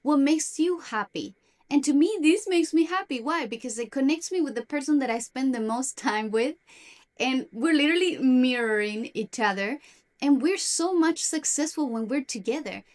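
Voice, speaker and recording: A young woman from Argentina, a native Spanish speaker, confides in her viewers in a self-help vlog. Her voice sits a bit high and her delivery is earnest, with the odd restart as she works through her thoughts. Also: lively intonation, normal speed. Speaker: self-development vlogger. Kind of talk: personal talk to camera.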